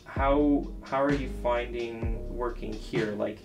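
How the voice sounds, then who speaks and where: A man's voice: mid-range voice, fairly even intonation, takes it slowly, gravelly, poor audio. A man in his thirties hosting a casual office interview into a desk microphone, friendly, with many fillers and restarts. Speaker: real estate team lead, host. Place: small office. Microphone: desk microphone on stand. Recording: music bed under voice.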